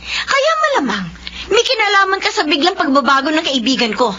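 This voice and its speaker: A woman's voice: very high voice, very animated, fairly quick, bright, forceful tone, heavily acted. A woman acting in a Filipino radio drama as a bold, inquisitive village friend, emphatic and theatrical. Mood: accusing. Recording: dull lo-fi radio drama, faint noise.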